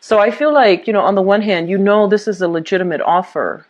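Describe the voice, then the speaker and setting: A woman's voice: mid-range voice, animated, brisk, a little mellow, dull audio. A woman giving a tarot reading to viewers, talking in a reassuring way with many fillers and restarts. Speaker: tarot reader.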